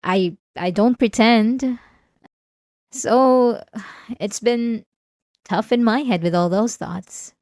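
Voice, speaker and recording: Woman acting a halting, obsessive patient, close and dry. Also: a little high, no room sound. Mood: vulnerable, earnest. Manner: hesitant, halting, with sighs and nervous soft laughs. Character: obsessive, manipulative female therapy patient, outwardly calm and vulnerable. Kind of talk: intimate ASMR roleplay monologue.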